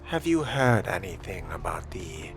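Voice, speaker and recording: A man role-plays a fledgling vampire, putting scripted questions in a measured way. His voice is extremely deep and unhurried, with faint music underneath. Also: sing-song. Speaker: player reading a fledgling vampire's lines. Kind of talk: scripted role-play dialogue.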